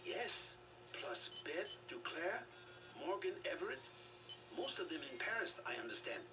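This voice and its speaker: A man's voice: high-pitched, very animated. An actor playing a formal, secretive male resistance leader and scientist in a video game, on a noisy distant capture. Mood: measured, cryptic. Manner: formal, measured.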